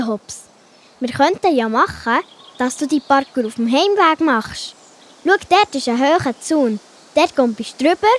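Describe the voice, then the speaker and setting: Children's audio play: woman as young Swiss German girl, high-pitched, cheerful, sensible and encouraging. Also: lightly acted.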